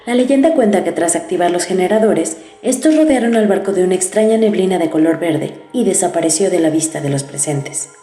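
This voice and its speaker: Mellow woman reading a narration. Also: fairly low pitch, a little fast, hardly any pauses, roomy sound, faint music behind. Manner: even, read-aloud delivery. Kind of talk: scripted narration.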